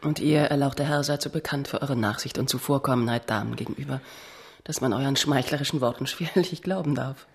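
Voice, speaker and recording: Young countess, proud, self-assured, outspoken, formal diction, radio drama. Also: female voice, extremely low pitch, brisk. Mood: teasing, guarded. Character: proud, principled young countess, frank and domineering. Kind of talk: German radio drama acting.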